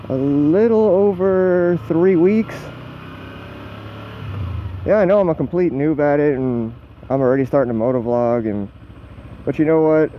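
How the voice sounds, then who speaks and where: A man in his twenties with an American accent, chatting casually and unscripted as a motorcycle vlogger, in a rambling, self-deprecating, casually profane way. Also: on the high side. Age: 20s. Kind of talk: casual unscripted chat while riding. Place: outdoors, riding a motorcycle on the road. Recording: muffled helmet mic with motor vehicle noise. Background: engine and road noise.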